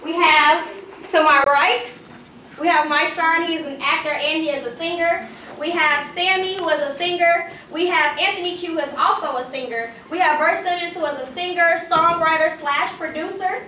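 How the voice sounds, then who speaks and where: A woman's voice: very high voice, normal speed, sharp tone. A woman moderating a live panel discussion, recorded at a distance in an echoey room. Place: live event room with audience. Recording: echoey room, distant mic, faint noise.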